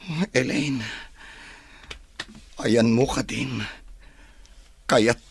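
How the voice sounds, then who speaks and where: A man's voice: medium pitch, extremely slow, gravelly, husky, dry recording, full character voice. An actor playing a married office worker and son in love with another woman, in an Ilocano radio drama. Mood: searching, longing.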